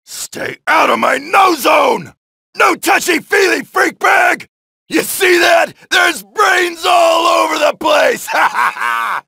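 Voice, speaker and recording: An actor playing a brash, wisecracking male soldier who shouts taunts and one-liners while killing zombies in a video game, with a gravelly voice. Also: extremely high pitch, lively intonation, slow, no room sound, a faint crowd, full character voice. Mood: taunting. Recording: dry studio voice lines.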